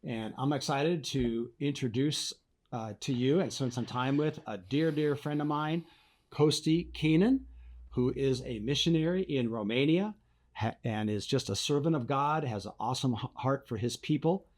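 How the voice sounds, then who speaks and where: Man, interview host, mid-pitched, encouraging, steady. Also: moderate pace. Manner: encouraging, frequent affirmations. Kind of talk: friendly interview.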